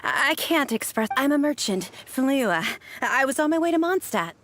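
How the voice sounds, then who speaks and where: Courteous, higher-voiced travelling merchant. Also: female voice, sing-song, normal speed, fairly bright tone, a little hiss or hum. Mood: grateful, relieved. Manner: polite, effusive. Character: grateful, courteous travelling merchant woman, insistent and gracious. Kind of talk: anime-style video game voice acting. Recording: game audio with background music.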